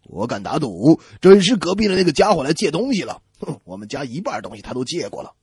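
Man acting fed-up husband, huffy, husky voice, lively swings in pitch, comic radio skit. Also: fast talker, no room sound, studio quality, exaggerated voice. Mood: grumbling. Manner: huffy, grumbling. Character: grumbling husband fed up with neighbor.

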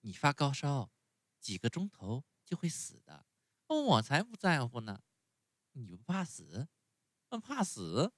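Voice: medium pitch, wildly swooping pitch, a little slow, long pauses, dry recording, clean studio sound, voice pushed up. A storyteller voicing a stubborn, whining wooden puppet boy who is sick in bed. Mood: wailing. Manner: whining, pleading, wailing.

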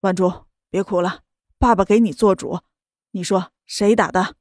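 A protective father speaks low and gruff, with anger held back under tenderness, voiced by a woman reading the story. The tone is mellow and dry, close to the mic. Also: steady tone, moderate pace, dry recording, lightly acted. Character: stern middle-aged father, angry but tender.

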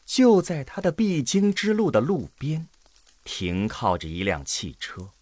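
Slow, dramatic male narrator. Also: on the high side, wildly swooping pitch, darkish tone, no echo. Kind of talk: dramatic scripted narration of a sci-fi audio drama.

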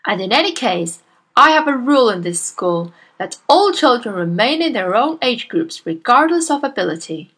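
A woman voicing a huge, bullying female headmistress who barks and shouts with scorn. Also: on the high side, very animated, normal speed, breathy edge, pitched above the performer's own voice, full character voice. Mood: bellowing scorn.

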